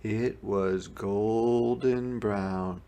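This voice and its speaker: A man narrating a children's picture book in a deliberate, expressive storytelling manner. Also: on the low side. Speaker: storybook reader. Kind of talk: scripted children's read-aloud narration.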